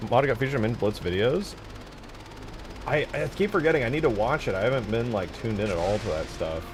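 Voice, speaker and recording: A man's voice: lowish voice, noisy background. A man giving casual, rambling commentary as a game modder, thinking aloud in slangy, informal speech. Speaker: game modder showing work in progress.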